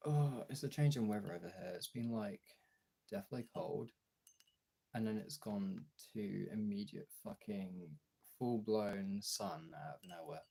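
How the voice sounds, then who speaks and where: British male streamer, low and husky with a croaky fry, hoarse from illness, informal sweary chat. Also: a little slow, dark tone. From Britain. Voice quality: raspy, throaty. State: hoarse from illness, throat clearing. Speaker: live streamer and audio voice performer. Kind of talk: casual livestream chat.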